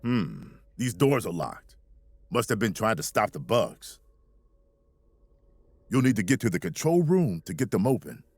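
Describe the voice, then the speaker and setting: Commanding military colonel, lively pitch. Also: male voice, unhurried, long pauses, slightly rough, no room sound, heavily acted. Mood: steady, focused. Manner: commanding, informal soldier talk. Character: gruff, confident mobile infantry colonel leading troopers. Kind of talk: military video game acting.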